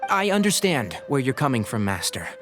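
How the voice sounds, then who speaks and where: A man's voice: mid-range voice, sing-song, fairly quick, over music, natural voice. A man voicing an earnest, thoughtful young scholar in a video game, polite and careful. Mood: polite, cautious. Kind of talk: English video game dub acting.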